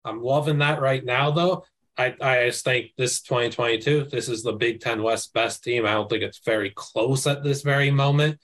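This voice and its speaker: A man's voice: medium pitch, steady tone, normal speed, a bit bright. A man in a casual sports podcast chat, talking emphatically and with casual slang. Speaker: college football panelist.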